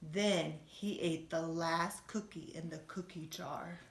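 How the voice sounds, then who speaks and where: A woman reading a children's storybook aloud like a teacher, expressively, with gentle questions to her young listeners. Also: deep voice, fairly even intonation, slow, slight background noise. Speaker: schoolteacher reading a bedtime story. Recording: roomy, echoey room sound.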